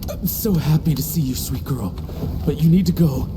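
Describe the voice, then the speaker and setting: An actor playing a gentle, wounded father in a video game, tender and strained. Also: a little high, moderate pace, noisy background, lightly acted. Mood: tender, urgent. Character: gentle, wounded father urging his daughter away. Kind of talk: dramatic video game voice acting.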